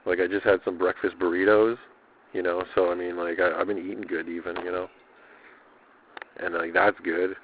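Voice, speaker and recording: Low-pitched man, casual audio diary, slangy and rambling, repetitive phrasing, narrow muffled lo-fi sound. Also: little pitch movement, moderate pace, pauses often, slight background noise. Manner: loose, slangy, swearing, repeats himself. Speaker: personal audio diarist.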